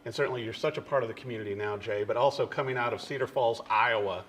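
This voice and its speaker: A man's voice: mid-range voice, fast talker, fairly bright tone, a little hiss or hum. A man in his forties from the US Midwest hosting a TV interview, chatty and affirming, working in product mentions. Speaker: TV show host. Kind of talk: interview chat.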